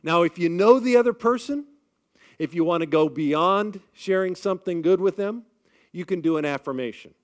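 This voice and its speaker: An energetic male speaker with a big projected voice that sits a bit high for a man. He rallies a live audience on a microphone with upbeat, chatty energy and keeps checking that they are with him. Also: normal speed. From Midwestern United States. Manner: energetic, upbeat crowd-rallying with frequent "all right?" checks. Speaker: event speaker leading the group. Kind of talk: live talk leading an audience icebreaker. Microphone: amplified on a microphone.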